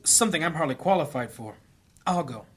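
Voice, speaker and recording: A man playing an earnest, anxious priest in a fantasy audio drama, with a husky voice. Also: a little high, animated, fairly quick, fairly bright tone, soft background music. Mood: urgent, earnest. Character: earnest, anxious male priest on a covert search.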